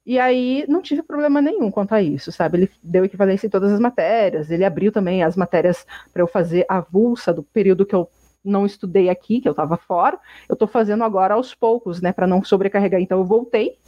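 Young woman from Brazil, chatty interviewee, mid pitch, dry muffled recording. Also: quick pace, no echo. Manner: rambling, many restarts and fillers. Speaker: interviewee, exchange student. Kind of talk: casual interview answer. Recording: dull muffled sound.

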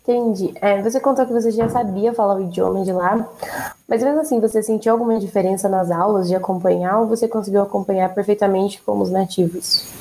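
A woman's voice: mid-range voice, steady tone, quick pace, a little mellow, a little hiss or hum, low-quality recording. A woman interviewer asking long, wordy questions.

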